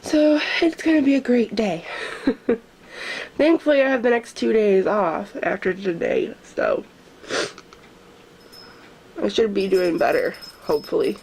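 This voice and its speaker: A woman's voice: medium pitch, measured pace, vocal fry, pauses often, a little mellow, a little hiss or hum. A woman chatting casually to camera in her daily vlog, sick with a stuffy nose and her voice coming and going, self-mocking and laughing often. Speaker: vlogger. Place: at home indoors.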